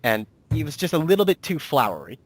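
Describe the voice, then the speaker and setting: A man's voice: fairly high pitch, very animated, fast talker, no echo. A man hosting a casual interview as a writer and producer, talking in a rambling, self-deprecating way.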